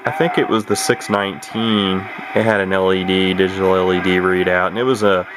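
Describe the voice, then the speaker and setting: A man's voice: deep voice, fairly even intonation, a little fast, heavy background noise. A man giving a casual, unscripted product walkthrough in a rambling, matter-of-fact way, as a hobbyist talking near his camera. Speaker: radio collector giving a product demonstration.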